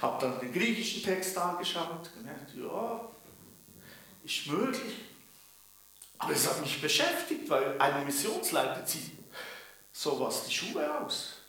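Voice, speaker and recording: A man's voice: a little high, big pitch swings, unhurried, creaky, pauses often, sharp tone. A man from Bern with a Swiss German accent preaching a sermon, telling it emphatically with rhetorical questions. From canton of Bern, Switzerland. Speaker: preacher and mission leader. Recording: very echoey room, distant from microphone.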